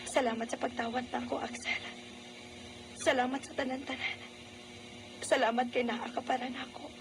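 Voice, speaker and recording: A woman's voice: sits high, flat delivery, moderate pace, creaky, noisy background. A woman with a Cebuano Filipino accent acting a tender young mother ashamed of her past in a radio drama. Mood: tender, grateful.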